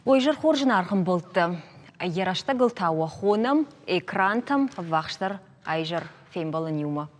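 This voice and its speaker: Lower-pitched woman hosting a talk show. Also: expressive, unhurried, a little hiss or hum. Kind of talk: studio talk show conversation.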